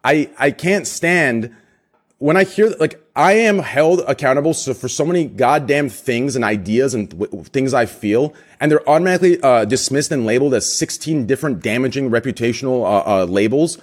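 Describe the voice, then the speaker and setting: A man streamer arguing in a heated group discussion, passionate and excitable, pressing his points emphatically with frequent restarts. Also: mid-range voice, normal speed.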